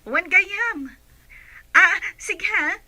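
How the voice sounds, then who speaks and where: A woman's voice: very high voice, extremely slow, pushed and bright, dry recording, heavily acted. An actress playing a friendly, eager female hospital worker in a radio drama.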